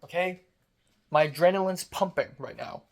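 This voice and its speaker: A man's voice: high voice, normal speed. A young man from Hong Kong speaking in a casual, late-night diary vlog. His throat is sore and strained, and he rants with sarcasm, swearing and self-mockery. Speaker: vlogger.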